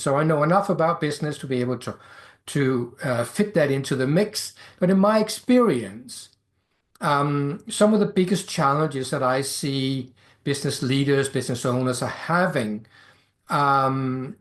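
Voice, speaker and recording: A middle-aged man from Denmark with a Danish accent, speaking English as a second language while hosting a solo podcast as a life coach. He talks in a halting, reflective way and fills his pauses with "um" and "uh". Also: medium pitch. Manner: hesitant, many fillers and restarts.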